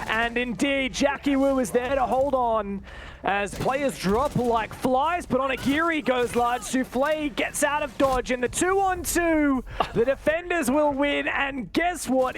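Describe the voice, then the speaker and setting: A man working as a play-by-play caster, commentating live on an esports match with fluent analysis that can rise into excited calls. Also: extremely high pitch.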